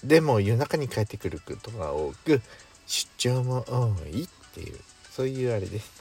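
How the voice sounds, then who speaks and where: With faint music behind him, a man talks casually as a podcast co-host. His voice is a little rough and husky, and its pitch moves in lively swings. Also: moderate pace. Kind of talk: casual chat. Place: recorded in a parked car. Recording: background music under speech.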